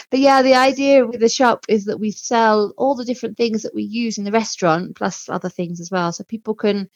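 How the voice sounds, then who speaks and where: A woman's voice: mid-range voice, dry recording, highs cut off. A woman speaking as an interview guest about her own work in a chatty, informal, self-deprecating way. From outside Mexico, not American. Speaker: interview guest, small restaurant and shop owner. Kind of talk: conversational interview.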